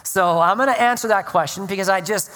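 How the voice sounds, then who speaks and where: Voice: mid-range voice, a little fast, fairly bright tone. Preacher with long hall reverb. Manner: earnest preaching with prayer and scripture reading. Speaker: pastor. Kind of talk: sermon. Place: church sanctuary.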